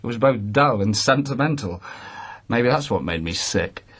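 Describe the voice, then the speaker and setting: An actor playing an erratic, hard-drinking court poet with a mocking, sharp tongue. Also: male voice, medium pitch, lively intonation, normal speed, slightly rough, no echo. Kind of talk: radio drama acting.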